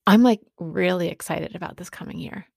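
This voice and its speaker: Husky, creaky middle-aged woman, reflective. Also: on the low side, a little mellow, no echo, clean studio sound. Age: late 40s. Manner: halting, pausing between short phrases. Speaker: podcast host speaking on sobriety. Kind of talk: reflective personal monologue.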